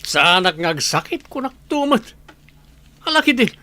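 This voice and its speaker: A man's voice: high voice, big pitch swings, normal speed, pushed and bright, full character voice. A man acting a hard-drinking, pleading village husband in an Ilocano radio drama, with background noise. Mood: tipsy, coaxing.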